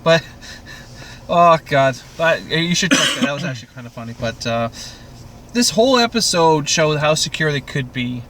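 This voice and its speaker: A man hosting a casual podcast chat about a TV show, with crude, profane, animated joking. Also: on the high side, moderate pace, a little hiss or hum. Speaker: podcast host.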